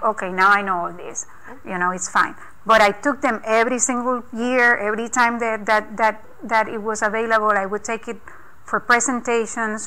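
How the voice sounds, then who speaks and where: A woman's voice: a little high, sharp tone, poor audio. A woman whose first language is not English, speaking earnestly on a panel in a conference room and often restarting her sentences. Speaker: scientist panelist. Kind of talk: panel discussion.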